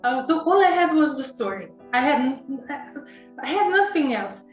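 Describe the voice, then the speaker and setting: A woman's voice: high-pitched, big pitch swings, moderate pace, roomy sound, soft background music. A woman from Romania with a Romanian accent, an author being interviewed, speaking hesitantly with many fillers, restarts and nervous laughs. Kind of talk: casual interview conversation.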